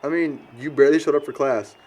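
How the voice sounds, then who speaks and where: A man's voice: on the high side, fast, a little mellow, lo-fi sound, lightly acted. A young American man acting as a crude, dim, defensive slacker in film dialogue. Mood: confused. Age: young adult. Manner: blunt, defensive. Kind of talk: naturalistic amateur film dialogue.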